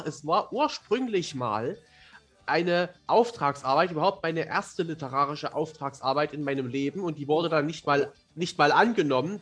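A young man with a high-pitched voice, talking as a podcast guest. He tells stories casually in long, articulate, playful sentences, with faint music in the background. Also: a bit bright, breathy edge. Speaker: podcast guest, fantasy author. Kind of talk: casual, talkative storytelling.

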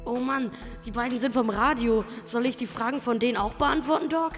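A woman's voice: fairly high pitch, a little fast, big-room sound, faint music behind, close to an everyday voice. A young female actor playing a cheeky, thuggish eleven-year-old boy henchman in an amateur radio play. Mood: cocky.

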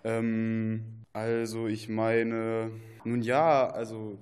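A man's voice: fairly low pitch, level tone, very slow, darkish tone, smooth. Man playing a rambling, muddled little dragon. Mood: flustered, rambling. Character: little chatty dragon, muddled aspiring double bass player. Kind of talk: children's radio play.

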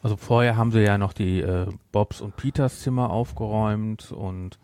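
A man's voice: fairly low pitch, steady tone, moderate pace, a little mellow, dry recording. A middle-aged man co-hosting a podcast, chatting casually in a playful, teasing way with frequent interjections. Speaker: podcast co-host. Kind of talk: casual chat, recapping an audio drama.

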